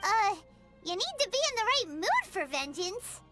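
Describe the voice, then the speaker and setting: A woman voicing a small, cheeky, blunt fairy girl with a squeaky childlike voice in a video game. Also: sing-song, moderate pace. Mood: puzzled. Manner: refers to self in third person, exclaiming. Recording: game music behind the voice. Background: music.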